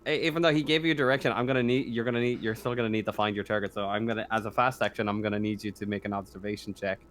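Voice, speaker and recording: A man acting as game master, narrating and ruling on a tabletop roleplaying game in a casual, halting way that repeats phrases. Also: fairly low pitch, fairly even intonation, fairly bright tone.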